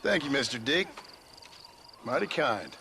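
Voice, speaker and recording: An actor playing a gruff but polite, weary gunslinger in a Western video game, speaking tersely and dryly with an American accent. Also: male voice, medium pitch, sing-song, a little slow, noisy background. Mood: dry politeness. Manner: terse, dry, level-headed.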